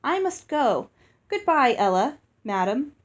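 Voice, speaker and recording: A gallant, courteous knight from a fairy tale, played by a woman with a high voice. His speech is polite and courtly, with lively movement in the pitch. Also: slow pace, full character voice. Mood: regretful.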